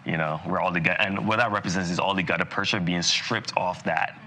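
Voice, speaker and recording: Man, very low pitch, forceful bright tone, from Barbados, casual lecture style, faint background noise. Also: a little fast. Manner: relaxed, conversational, many fillers and asides. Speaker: dental lecturer. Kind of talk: live continuing-education lecture.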